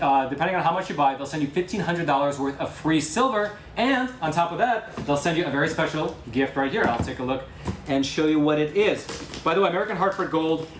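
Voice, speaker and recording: A man rattling off an upbeat sponsor read at a quick clip, voice a little high for a man. He sounds informal and eager. The room is echoey with a faint hiss behind him. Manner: enthusiastic, informal, shows products. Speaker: ad presenter.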